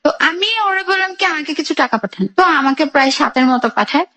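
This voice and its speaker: A woman's voice: high-pitched, expressive, fast talker, a bit bright. A young woman with a Bangladeshi accent tells her life story in a radio interview, narrating in detail and re-enacting conversations. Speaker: guest telling own life story.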